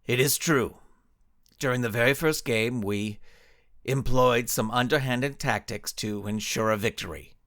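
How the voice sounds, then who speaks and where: A man's voice: mid-range voice, measured pace, a bit bright, dry recording, clean studio sound, natural voice. A man voicing a haughty male skull-headed villain in a story, formal and easily affronted. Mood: sheepish. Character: indignant male skull-headed villain coaching children's soccer.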